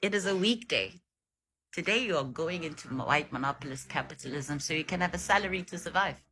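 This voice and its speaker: A woman's voice: low-pitched, animated, fairly quick, bright, forceful tone, slight background noise. A woman from South Africa giving opinionated commentary in a reaction video, speaking with emphatic indignation. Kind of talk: opinionated social commentary to camera.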